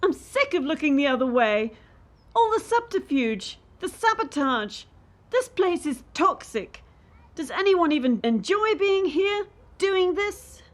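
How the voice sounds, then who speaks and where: A woman's voice: very high-pitched, very animated, a little slow, heavily acted. A woman playing a distressed, idealistic young gymnast in a radio play, with an anxious, aggrieved and brooding manner. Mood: bitter, fed up.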